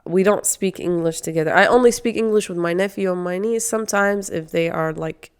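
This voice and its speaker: A woman's voice: fairly low pitch, brisk, clean tone. A young woman whose first language is Arabic, co-hosting a podcast in a chatty, enthusiastic way. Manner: chatty, enthusiastic, many fillers and restarts. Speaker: podcast co-host. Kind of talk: casual chat.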